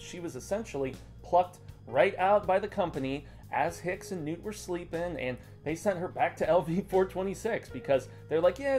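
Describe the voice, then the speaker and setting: A man's voice: fairly high pitch, a little mellow. Casual American man reviewing, light music. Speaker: review show co-host. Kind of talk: casual conversational comic book review. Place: small indoor room lined with comic shelves.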